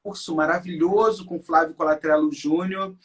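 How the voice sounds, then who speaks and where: A man in his forties with a Brazilian accent talks as a guest in an interview. His delivery is conversational and emphatic, and he often turns to the listeners or checks in with short tag questions. His voice sits at an ordinary brightness. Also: fairly high pitch, steady tone, normal speed. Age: 40s. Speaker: interview guest, English teacher. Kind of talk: conversational interview, personal storytelling.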